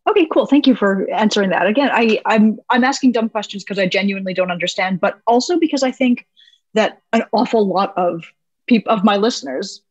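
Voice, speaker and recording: A woman's voice: medium pitch, fairly quick, no echo, dull audio. A woman hosting a podcast, asking questions in a chatty, self-deprecating way full of "like.". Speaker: podcast host. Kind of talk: casual interview chat.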